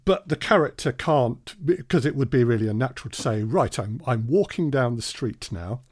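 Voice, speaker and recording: A man's voice: medium pitch, expressive, moderate pace, darkish tone. A man co-presenting a workshop in a conversational way, often prompting his partner with tag questions.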